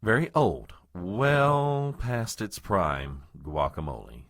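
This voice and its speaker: A man's voice: low-pitched, lively intonation, slow, a bit bright, dry recording, studio quality, full character voice. An actor playing a self-important, married political aide in an audio comedy, nervously stammering and stiffly formal as he fends off trouble. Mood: weary, exasperated. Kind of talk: comedic audio drama acting.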